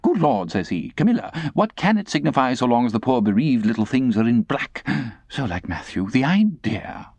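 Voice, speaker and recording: A man's voice: mid-range voice, big pitch swings, normal speed, a little mellow, no echo, pitched above the performer's own voice. A man voicing an indignant, self-pitying middle-aged lady in a storybook, plaintive, fussy and self-righteous. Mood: affected pity.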